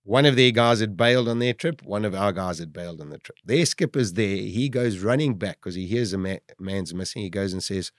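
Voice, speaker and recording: A South African man in his fifties telling his own survival story in a loud, animated way. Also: fairly quick, hardly any pauses, no room sound, studio quality. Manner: loud, animated storytelling with big pitch jumps. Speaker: guest storyteller.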